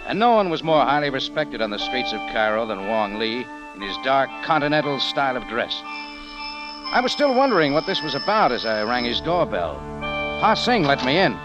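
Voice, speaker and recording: An actor playing a wry, easygoing American cafe owner and adventurer in a 1950s radio drama, with casual noir-style delivery. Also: male voice, mid-range voice, sing-song, normal speed, dull audio, close to an everyday voice. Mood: intrigued, narrating. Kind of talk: 1950s radio drama acting, first-person noir narration. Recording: vintage radio with music behind.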